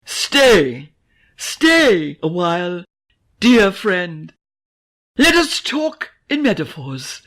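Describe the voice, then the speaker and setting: Woman poet for verse recitation: slow, declamatory delivery with wide swings in pitch. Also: sing-song, fairly bright tone. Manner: dramatic, declamatory, measured short phrases with emphatic stresses. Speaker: poet reading own poetry. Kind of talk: poetry recitation.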